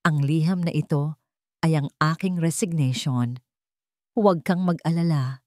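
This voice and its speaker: Babaeng gumaganap bilang malungkot na tagapag-alagang babae. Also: female voice, low voice, unhurried, a little mellow, dry recording, clean studio sound. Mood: sorrowful, resigned. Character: heartbroken, resigned female caregiver, gentle and apologetic.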